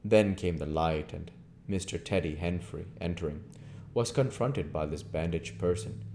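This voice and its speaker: A man's voice: low-pitched, normal speed, a little mellow, a little hiss or hum. A man reading a novel aloud as an amateur storybook narrator. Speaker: audiobook reader.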